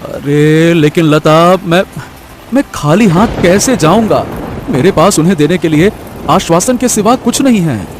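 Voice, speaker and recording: A man's voice: a little high, lively intonation, unhurried, music in the background. A man voicing a poor, earnest and anxious young woodcutter in a cartoon story, speaking hesitantly. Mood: worried. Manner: hesitant, stammering, pleading. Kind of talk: animated story voice acting.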